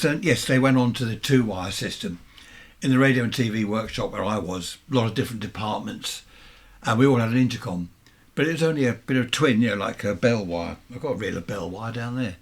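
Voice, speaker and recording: A man in his seventies with a southern English accent and a husky voice, giving a chatty, rambling, unscripted show-and-tell as a hobbyist, often questioning himself. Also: fairly low pitch, a little hiss or hum. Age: 70s. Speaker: amateur radio hobbyist presenting vintage equipment.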